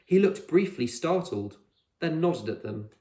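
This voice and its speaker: A man's voice: fairly high pitch, lively intonation, unhurried, dark tone. Man reading a children's story, echoey room. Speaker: teacher reading aloud. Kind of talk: scripted storybook narration for children.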